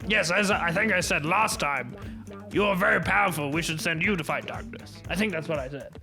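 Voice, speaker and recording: A man's voice: fairly high pitch, fairly quick, gravelly, soft background music. A man playing an aggrieved, pompous adventurer in improvised comedy roleplay, earnest and indignant.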